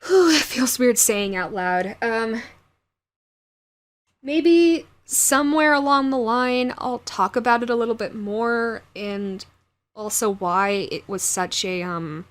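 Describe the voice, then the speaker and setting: A woman's voice: a little high, slow pace. A woman who hosts an audio roleplay channel, talking casually and directly to her viewers.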